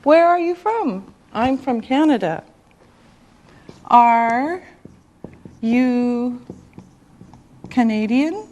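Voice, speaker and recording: A woman teaching an English class, speaking slowly and deliberately with clear enunciation. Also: fairly high pitch, animated, a little mellow. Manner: slow and deliberate, drawing out words.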